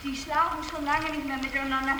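A woman's voice: high voice, flat delivery, brisk, bright, forceful tone, reverberant. An actress in a 1960s radio play voicing a young nurse in intimate talk with her fiancé. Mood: intimate, teasing. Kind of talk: 1960s radio drama acting. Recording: distant, noisy 1960s radio tape.

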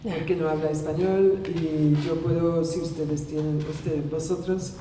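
A woman's voice: deep voice, level tone, a little slow, crowd noise. A woman with a slight Argentine accent presenting at a seminar, speaking carefully and hesitantly. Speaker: guest presenter. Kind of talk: seminar talk. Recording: distant mic in an echoey hall, background noise.

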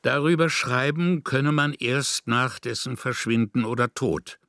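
A man reading scripted essay prose in a measured, formal manner. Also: mid-range voice, fairly bright tone, no echo, clean studio sound. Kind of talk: scripted literary essay narration.

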